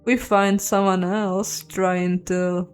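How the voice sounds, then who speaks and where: A woman's voice: mid-range voice, level tone, slow pace, soft background music. A woman giving casual, playful let's play commentary, chatting and thinking aloud as she goes. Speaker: host of a game playthrough.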